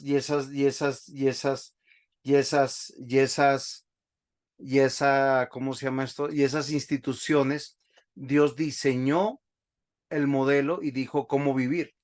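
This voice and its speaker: A man, a pastor teaching a Bible class over a video call, speaking slowly and stopping often to ask the class questions. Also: medium pitch. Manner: slow, halting, asks the class questions often.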